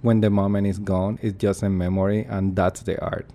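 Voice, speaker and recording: Earnest, reflective man, lowish voice. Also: flat delivery, moderate pace, warm tone. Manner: earnest, reflective, searching for words. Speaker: performance artist. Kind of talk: interview answers.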